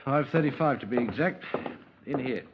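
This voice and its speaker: An actor playing an affable, easygoing British county police sergeant in a 1950s radio drama, chatty and understated. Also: male voice, medium pitch, lively intonation, normal speed, background noise, natural voice. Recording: dull, reverberant 1950s radio recording.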